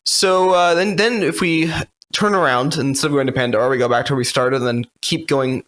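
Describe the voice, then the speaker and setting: Male podcast co-host, mid-pitched, conversational, halting and loosely phrased as he explains. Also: fairly bright tone. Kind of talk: casual conversational walkthrough.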